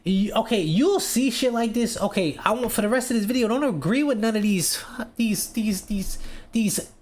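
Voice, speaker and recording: Lively, mocking reaction host. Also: male voice, high-pitched, moderate pace. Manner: loud, mocking, slangy running commentary. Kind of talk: casual reaction commentary.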